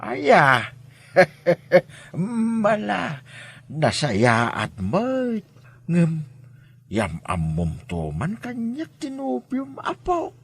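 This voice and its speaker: An actor playing a gentle, protective elderly grandfather in an Ilocano drama, with an Ilocano accent. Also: high voice, huge pitch swings, very slow, creaky, breathy edge, exaggerated voice. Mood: pleased, curious. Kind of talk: Ilocano radio-style drama acting.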